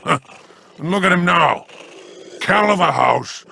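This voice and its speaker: A man's voice: high-pitched, lively intonation, a little slow, pushed and bright, no room sound, heavily acted. A man voicing a sly, gloating alien crime boss in a video game, with a growling, theatrical, mocking drawl. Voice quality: growling, gravelly. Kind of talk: scripted game voice acting.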